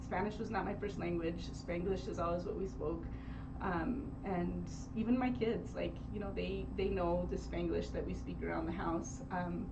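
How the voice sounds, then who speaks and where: A woman's voice: fairly low pitch, fairly even intonation, moderate pace. A woman author talking earnestly and reflectively in an interview, laughing often. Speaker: author guest. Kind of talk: interview conversation. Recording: distant mic with noisy background.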